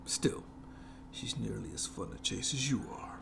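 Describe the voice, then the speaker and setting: A performer voicing a sadistic, gloating monster in a creaky whisper for horror ASMR, with slow, theatrical, mockingly polite menace. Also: medium pitch. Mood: taunting, gloating. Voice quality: breathy, heavily creaky whispery voice. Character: gloating sadistic monster jailer lurking under the bed. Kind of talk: scripted horror ASMR roleplay.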